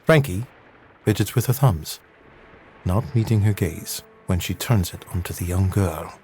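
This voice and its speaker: A man serves as narrator of an audio adventure. His voice is on the low side, reading scripted story prose. There is a little noise behind him. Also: unhurried, darkish tone.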